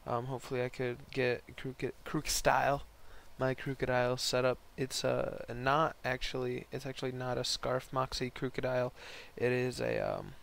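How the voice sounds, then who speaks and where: A young man with a hoarse, worn voice giving casual, rambling, slangy commentary on an online game battle. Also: medium pitch, lively intonation, normal speed, few pauses, fairly bright tone. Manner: rambling, slangy, laid-back. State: hoarse, voice partly lost from concert the night before.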